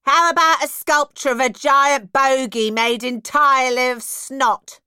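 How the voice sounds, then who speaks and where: A woman's voice: high voice, fairly even intonation, measured pace, smooth, dry recording, studio quality. A woman voicing a rowdy, mischievous schoolchild heckling in a children's audiobook. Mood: cheeky. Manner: cheeky, loud heckling.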